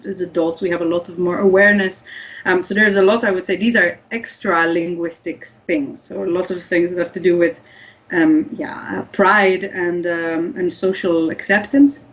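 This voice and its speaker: A woman whose first language is Hebrew, explaining research in an interview and often restarting her sentences. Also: mid-range voice. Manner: thoughtful, many restarts and fillers. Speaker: guest researcher. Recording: slightly dull lo-fi audio.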